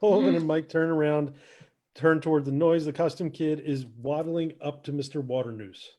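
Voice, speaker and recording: A man's voice: on the high side, moderate pace, warm tone. A man reading stage directions as the narrator of a scripted podcast.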